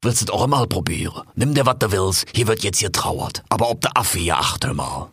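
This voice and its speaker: A man doing a husky monkey king. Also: on the low side, lively intonation, a little fast, fairly bright tone, dry recording, studio quality, exaggerated voice. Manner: dialect-heavy rambling, says "hör mal" often. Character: jovial old male monkey king, blustery.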